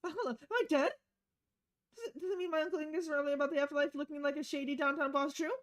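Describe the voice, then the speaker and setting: A woman acts out a panicked woman in a visual novel game, her voice pitched high and loud. She is paranoid and accusatory, tripping over her words in a frantic rush of suspicion. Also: fairly even intonation, fast talker, natural voice. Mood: alarmed.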